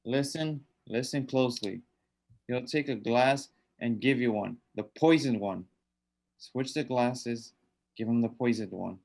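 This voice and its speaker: A man's voice: medium pitch, measured pace, gravelly, a little mellow. An actor playing a sinister undead old man in a radio drama, coaxing and menacing with insistent, repeated commands. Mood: urgent, insistent.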